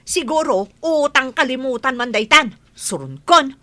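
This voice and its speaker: A woman playing a money-minded, nagging village wife in an Ilocano radio comedy drama, with an animated, scolding manner. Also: sits high, measured pace, full character voice.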